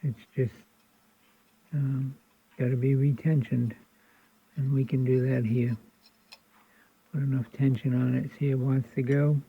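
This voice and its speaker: Gravelly man explaining at a workbench. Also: mid-range voice. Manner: rambling, with frequent ums and restarts. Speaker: radio restoration hobbyist host. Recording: tinny phone-like sound.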